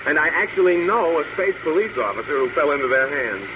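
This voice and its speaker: Smug, swooping radio villain. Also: male voice, a little high, sing-song, fast talker. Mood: amused, gloating. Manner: taunting, mock-friendly, savors his words. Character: smug, gloating crooked space police officer, villain. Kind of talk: old-time radio drama acting. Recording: muffled noisy old radio recording.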